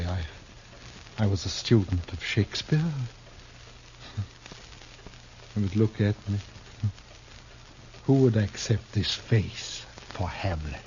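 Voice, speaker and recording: An actor playing a bitter, tormented Shakespearean player turned killer in a 1950s radio drama, declaiming floridly with halting repetitions. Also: male voice, lowish voice, darkish tone. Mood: bitter, wounded. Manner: theatrical, declamatory, halting with repeated words. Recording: muffled, noisy 1950s radio recording.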